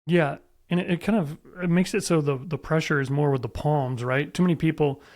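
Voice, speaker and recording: A man's voice: a little high, steady tone, brisk, darkish tone, slight background noise. A man hosting a podcast, chatting casually as he interviews a guest about a sport. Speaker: podcast host.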